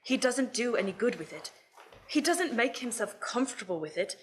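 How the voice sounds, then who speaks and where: A woman's voice: a little high, sing-song, normal speed, slight background noise. A woman playing a cheerful, forgiving young nephew hosting Christmas dinner in a radio play, genial and earnest.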